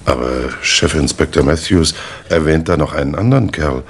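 A man's voice: very deep voice, moderate pace, a little hiss or hum. A man playing a blunt, impatient police inspector in a 1960s German radio crime play, questioning in a pedantic, exasperated manner. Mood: businesslike, puzzled.

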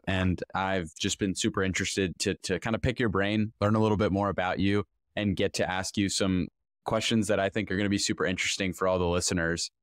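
A young male podcast host talks in a friendly, laid-back way, with a voice a little lower than most men's. He speaks into a studio mic on a boom arm. The sound is dry and close. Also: no room sound. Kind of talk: casual podcast hosting. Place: small room with dark backdrop. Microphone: studio mic on a boom arm, headphones.

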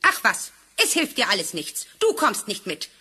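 Cruel fairy-tale stepmother: swooping, forceful, haughty, scolding. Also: medium pitch, wildly swooping pitch, moderate pace, husky, exaggerated voice. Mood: scornful. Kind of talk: German fairy-tale radio play acting. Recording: vinyl record transfer, faint music.

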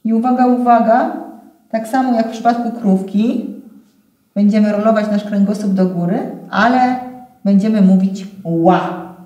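A woman in her thirties leading a warm-up exercise in an encouraging, playful, conversational way, often checking in with short tag questions. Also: medium pitch, moderate pace, lo-fi sound. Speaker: voice warm-up instructor. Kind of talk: guided exercise instruction, conversational. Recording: roomy echo, distant camera microphone.

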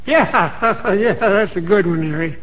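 A man's voice: high-pitched, a bit bright, exaggerated voice. An actor playing an amused, chuckling man in a radio drama. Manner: slow, chuckling.

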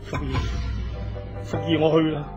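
Radio drama lead: male actor as earnest, lovestruck younger brother, Hong Kong Cantonese, lightish pitch, emotional. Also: monotone, measured pace, dull audio, music in the background, lightly acted. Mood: tender, grieving.

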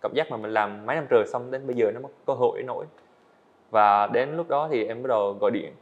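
Fast-talking earnest eighteen-year-old man. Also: medium pitch, highs cut off. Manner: earnest, storytelling, answers politely with "dạ vâng". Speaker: guest, animator. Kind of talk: podcast interview. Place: indoor studio set.

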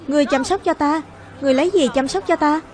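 A woman acts out a young, childlike cartoon hero with a very high voice. The delivery is brash and bickering, in the style of a dubbed fairy-tale animation for children. Music plays behind the voice. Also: fast talker, a little mellow, close to an everyday voice. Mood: teasing.

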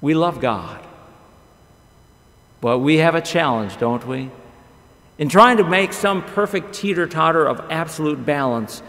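Middle-aged male pastor, slightly high and gravelly, animated storyteller, podium mic in an echoey chapel. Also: unhurried, long pauses. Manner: animated storytelling with wide gestures and rhetorical questions. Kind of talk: chapel sermon. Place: large chapel with long reverb. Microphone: podium microphone.

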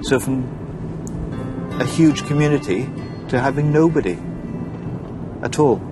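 A man's voice: mid-range voice, animated, measured pace, over music. A man in his thirties from Wales presenting a documentary in a conversational, reflective way. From Port Talbot, Wales. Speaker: presenter and stand-up comedian.

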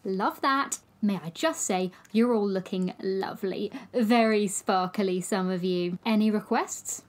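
A woman playing a bossy, excitable village choir leader in an audio drama, theatrical and eager. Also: mid-range voice, unhurried, lightly acted. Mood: cheery, showy. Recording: noisy train carriage ambience.

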